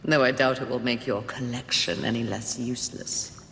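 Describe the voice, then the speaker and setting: A woman's voice: extremely low pitch, steady tone, normal speed, a bit bright. A woman playing a haughty, scornful female rider of the apocalypse in a video game, sardonic and imperious. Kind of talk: video game cutscene acting. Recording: echoey, reverberant hall, clear background noise.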